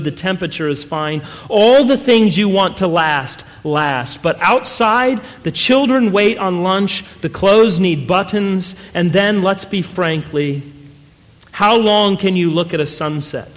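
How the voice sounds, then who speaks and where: Guy reading as a worn-out middle-aged storybook wife, plain-spoken n upset, high voice, big echoey room. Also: a little slow. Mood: weary, reflective. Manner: wailing, exclaiming, plain folksy phrasing. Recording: echoey hall with long reverb.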